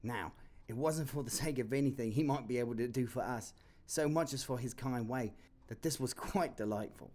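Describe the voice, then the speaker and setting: Humble clerk father, tender and soft-spoken, a man voicing him. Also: mid-range voice, normal speed, few pauses, natural voice. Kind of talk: audio drama acting.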